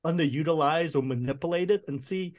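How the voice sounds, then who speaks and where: A man in his fifties, born in Vietnam, chatting as a podcast guest, telling animated stories with frequent laughs and restarts. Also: a little high, fairly quick. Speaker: guest, food writer. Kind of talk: casual podcast interview.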